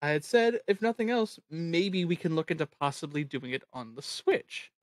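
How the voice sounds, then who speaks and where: A person chatting casually as host of a livestream, telling stories in an animated way and swearing freely. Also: mid-range voice, normal speed, dry recording. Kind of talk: casual group chat on a livestream.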